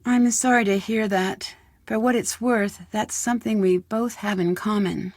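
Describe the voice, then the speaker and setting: An actress playing an inquisitive young amateur detective, polite but direct, in a video game. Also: female voice, medium pitch, steady tone, unhurried, no echo, studio quality. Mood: sympathetic, firm.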